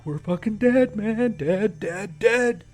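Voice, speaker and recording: A male narrator acts out a panicked man in a horror story. He is foul-mouthed and whiny, with a high, frantic voice that sounds close to breaking. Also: takes it slowly, a little mellow, faint music behind. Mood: sobbing panic. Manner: whiny, frantic, sobbing, hissing.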